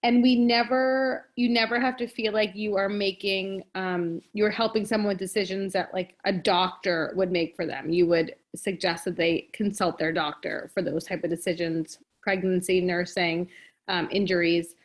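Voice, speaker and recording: A woman reads through slides on a video call and adds her own asides, her pitch sitting in the middle range. She speaks steadily and pauses often on ums. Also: level tone. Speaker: fitness coach. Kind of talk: reading slides with commentary.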